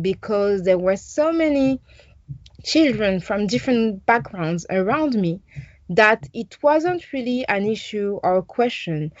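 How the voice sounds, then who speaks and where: A woman's voice: on the high side, darkish tone, no echo, dull audio. A French woman in her forties, a journalist answering interview questions thoughtfully with many hesitations and restarts. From France.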